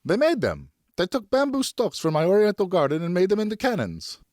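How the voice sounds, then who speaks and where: A male narrator plays an upset French wife and mother in a historical audiobook. The voice is lifted high and swings widely as she scolds and pleads, indignant and emphatic. The sound is close and dry. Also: wildly swooping pitch, fairly quick, darkish tone, no room sound. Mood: furious, alarmed. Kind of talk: audiobook character acting.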